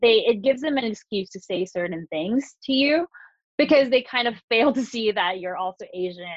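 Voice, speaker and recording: A woman's voice: fairly high pitch, animated, normal speed, no room sound, muffled recording. A woman from the Philippines talking casually, with many fillers and restarts. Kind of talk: casual conversation.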